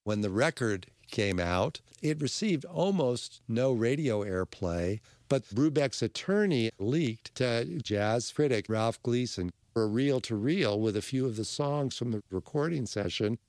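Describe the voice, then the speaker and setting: A man narrating a documentary in a measured, scripted way. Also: medium pitch, animated, a little mellow, no echo. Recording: music playing underneath.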